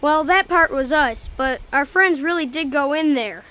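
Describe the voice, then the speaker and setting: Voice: high-pitched, moderate pace, pushed and bright. A young performer playing a skeptical, blunt, sarcastic boy in a radio drama. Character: skeptical, wisecracking boy named Jimmy. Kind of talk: old-time radio drama acting.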